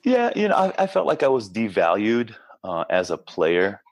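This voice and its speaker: A man's voice: fairly low pitch, wildly swooping pitch, moderate pace. A man from Israel in his thirties, a basketball coach talking earnestly and casually as a podcast guest. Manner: earnest, chatty, frequent restarts and fillers. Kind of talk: casual interview chat.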